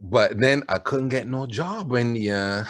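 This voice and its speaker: A man's voice: medium pitch, expressive, measured pace. A middle-aged man with a Barbadian accent, telling personal stories on a panel in a candid, wry, conversational way. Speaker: panelist, advertising creative.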